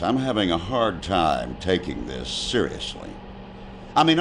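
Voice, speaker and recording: A man's voice: low-pitched, noisy background. A male actor playing a blunt, exasperated, skeptical military officer in a video game, arguing with open incredulity. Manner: incredulous, argumentative. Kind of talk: video game cutscene acting.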